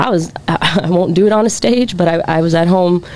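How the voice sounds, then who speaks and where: A woman musician with a deep voice, talking openly and reflectively as an interview guest, with many fillers. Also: a little fast, darkish tone. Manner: open and reflective, with laughs and fillers. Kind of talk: casual interview chat.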